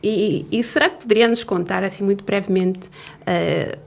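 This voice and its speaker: A woman's voice: mid-range voice, normal speed, creaky, darkish tone. A woman hosting a podcast, interviewing a guest in an encouraging way with frequent affirmations. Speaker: podcast host.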